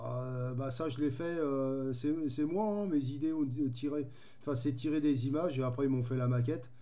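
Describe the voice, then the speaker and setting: A man's voice: mid-range voice, moderate pace, mellow. A man chatting casually as a radio hobbyist, easygoing and rambling in his way of talking. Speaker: amateur radio operator.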